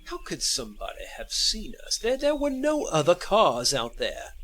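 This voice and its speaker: Actor as hard-nosed, sarcastic man, lively swings, faint music under him; radio play. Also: high-pitched, normal speed, exaggerated voice. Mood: scornful, tense. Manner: scornful, impatient. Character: sarcastic, hard-nosed lover covering up a crime. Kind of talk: audio drama acting.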